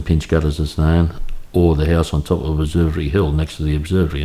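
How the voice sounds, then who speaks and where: An Australian man in his fifties, recalling his childhood in a relaxed, halting way with many restarts for an oral history interview. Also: extremely low pitch. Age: 50s. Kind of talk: reminiscing in an interview. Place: home in Cremorne, Sydney.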